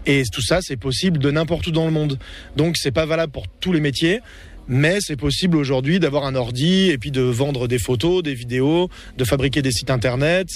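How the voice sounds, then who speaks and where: A man guest on a radio interview, explaining in a measured, reflective way. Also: on the high side.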